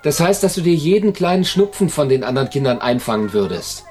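A somewhat high male voice as a strict but caring children's home carer. He explains things firmly in plain everyday words, in radio play style. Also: sing-song, a little fast, a little mellow. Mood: stern, reassuring. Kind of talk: radio drama acting.

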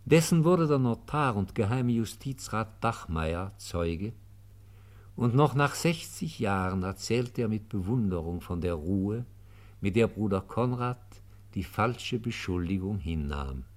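A man reading measured scripted narration as the narrator of a radio play. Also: on the low side, animated.

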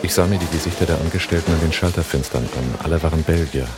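A world-weary man on the run in a German radio play. His voice sits low, and he speaks and narrates in short, dry, laconic phrases. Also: quick pace, loud music behind the voice. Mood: businesslike.

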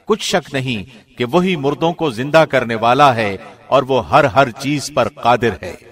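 Calm man reading a studio narration. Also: mid-range voice. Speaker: translation narrator. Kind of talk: scripted narration. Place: recording studio.

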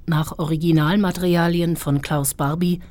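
Female announcer with a notably low voice, reading scripted titles. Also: steady tone, normal speed, darkish tone, a little hiss or hum.

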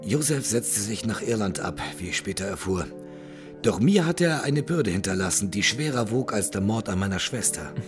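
A low-voiced older gentleman played by a man tells his story in a formal, measured way, grave and haunted. He stays courteous throughout, as in an audio drama, with faint music underneath. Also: expressive, close to an everyday voice. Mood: grave, bitter. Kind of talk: German audio drama acting.

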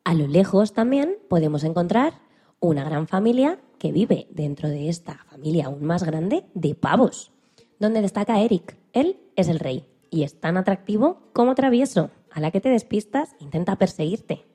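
A woman's voice: fairly low pitch, animated, normal speed, mellow, breathy edge. A woman presenting on radio in a storytelling style of narration.

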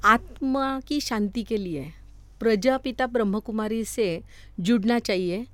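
A woman from Maharashtra with a Marathi accent, giving casual, somewhat halting answers as an interview guest. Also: a little high, a bit bright, no echo. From Maharashtra, India.

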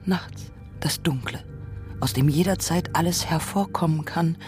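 A woman performing a scripted literary radio essay in a reflective, rhetorical manner with deliberate phrasing. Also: deep voice, darkish tone, soft background music.

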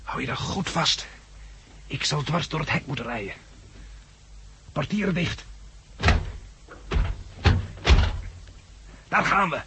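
A steady reassuring survivor in an old dutch radio play, bit of noise behind him. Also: male voice, on the high side, normal speed. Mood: urgent, commanding. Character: Bill, resolute, reassuring male survivor and narrator. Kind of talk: 1960s Dutch radio drama acting. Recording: noisy 1969 radio play, vehicle sounds.

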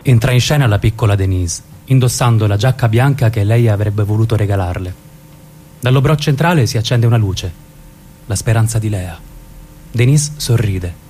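An actor playing a brooding companion and narrator of a tragedy in an Italian radio stage drama. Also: male voice, lowish voice, moderate pace, warm tone, slight background noise, lightly acted. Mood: solemn narration.

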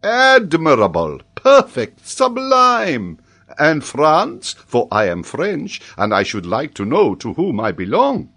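An excitable French professor acted out by a male narrator, pitched up and swooping dramatically. He draws out his exclamations with theatrical, mock-admiring amazement, as in a storybook. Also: slow, heavily acted. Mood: excited disbelief.

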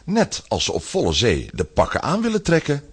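A man's voice: mid-range voice, wildly swooping pitch, fairly quick, background noise. A man narrating a scripted children's audio story as a storyteller.

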